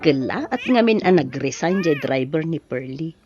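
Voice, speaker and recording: Animated, reassuring elder sister, Ilocano accent. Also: female voice, deep voice, normal speed, muffled recording, faint music behind. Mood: accepting, caring. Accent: Filipino, Ilocano. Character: Erlinda, helpful, reassuring married elder sister. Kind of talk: Ilocano audio drama acting.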